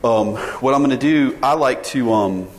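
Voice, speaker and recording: A man's voice: mid-range voice, normal speed. A man in his forties gives a casual conference presentation to an audience, polling them with questions and restarting his sentences often. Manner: informal, polls the audience, many restarts. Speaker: sales director presenting at a conference. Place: conference session room. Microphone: tethered mic. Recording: reverberant hall, faint ambience.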